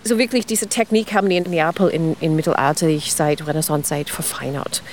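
A woman's voice: sits low, steady tone, brisk, slight background noise. Enthusiastic woman explaining. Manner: non-native German with grammar slips, enthusiastic, explains step by step. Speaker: ice cream history expert. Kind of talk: explanatory interview talk.

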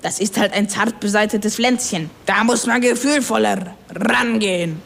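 A woman's voice: medium pitch, normal speed, vocal fry, slight background noise, lightly acted. A woman voicing a male shipmate in an audio story, teasing and placating in manner. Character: male ship's mate, mocking yet soothing. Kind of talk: acted German audio story.

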